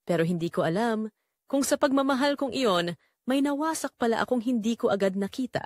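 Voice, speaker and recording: Female Filipino narrator for first-person audio drama; lively, varied intonation, very dry close sound. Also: on the high side, brisk, no room sound.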